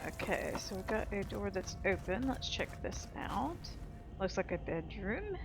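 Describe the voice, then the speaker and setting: A woman's voice: medium pitch, sing-song, a little slow, vocal fry, over loud music. A woman giving informal gameplay commentary, thinking aloud as she explores. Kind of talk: casual game commentary.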